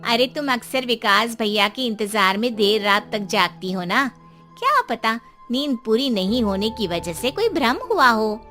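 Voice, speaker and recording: A woman's voice: a little high, very animated, measured pace, bright, forceful tone, faint music behind, heavily acted. A woman voicing a sensible, mildly impatient neighbour in a dramatized story. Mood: chiding.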